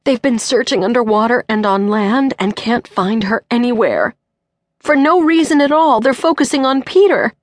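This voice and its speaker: A woman's voice: fairly high pitch, animated, moderate pace, no room sound, studio quality, pitched above the performer's own voice. A woman voicing a strong, elegant mother who is gasping and pleading through tears. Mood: distraught. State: crying.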